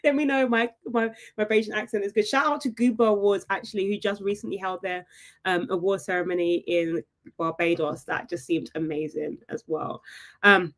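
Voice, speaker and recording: A woman hosting a live property webinar, chatty and upbeat, often checking in with her viewers with a quick "right?". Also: medium pitch, fairly even intonation. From United Kingdom. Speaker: property investment webinar host.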